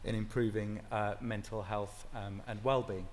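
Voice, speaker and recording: Brief: male conference speaker, hesitant delivery, low hum of the room behind. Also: fairly even intonation, a little slow. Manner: hesitant, many fillers. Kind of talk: presentation opening.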